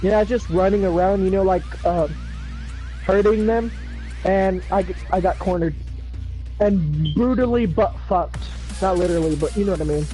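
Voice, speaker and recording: A man with a high, mellow voice chats loosely while gaming, his words trailing into fillers and casual swearing. His voice is going rough and hoarse. Faint music plays behind him. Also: normal speed, poor audio. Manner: rambling, full of fillers and swearing. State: losing his voice. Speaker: gamer chatting on a livestream. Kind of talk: casual chat.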